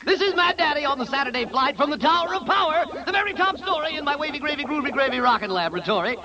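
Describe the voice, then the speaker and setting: A man doing fast, rhyming showman patter as a radio disc jockey on an old radio recording. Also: very high-pitched, very animated, lo-fi sound, crowd noise. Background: music under the voice.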